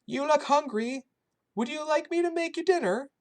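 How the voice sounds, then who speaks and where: A woman voicing a cheerful, kindly old Polish woman who cooks for others, speaking with a Polish accent in a high, doting voice. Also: moderate pace, close to an everyday voice.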